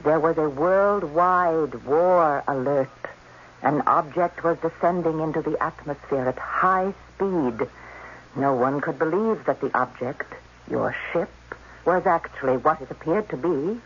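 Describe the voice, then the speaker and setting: An actress playing a guarded alien doctor, speaking in a formal, precise manner in a 1980s radio drama. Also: female voice, deep voice, measured pace. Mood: grave, formal. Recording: dull, noisy old radio broadcast.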